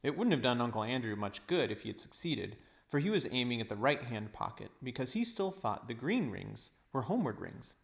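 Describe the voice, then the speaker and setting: Guy reading a kids novel out loud, average pitch, measured but expressive storytelling. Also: gravelly. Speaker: teacher reading aloud. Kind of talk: storybook narration.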